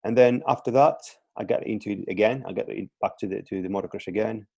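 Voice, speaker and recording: Greek man with a low warm voice. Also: fast, creaky, slightly rough, dry recording, muffled recording. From Greece (Crete). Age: 30s. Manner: hesitant, repeats words, many fillers. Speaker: strength and conditioning coach, guest. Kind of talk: casual podcast interview.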